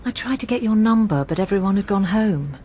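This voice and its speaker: A woman's voice: medium pitch, lively intonation, brisk, dark tone, a little hiss or hum, natural voice. An actress playing a frank, troubled British woman in a naturalistic radio drama, talking in a candid, confiding way. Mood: awkward. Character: frank, troubled woman, friend of a dead flatmate. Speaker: supporting actor.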